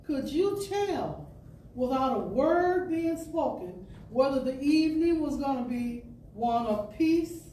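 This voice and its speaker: A woman with a high voice reads an inspirational spiritual talk as a storyteller would. She takes her time, phrasing deliberately and pausing for emphasis. The sound is echoey, as in a large indoor space. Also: lively intonation, warm tone. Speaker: storyteller and speaker at a spiritual center. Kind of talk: expressive reading of an inspirational talk. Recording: distant roomy pickup with background noise.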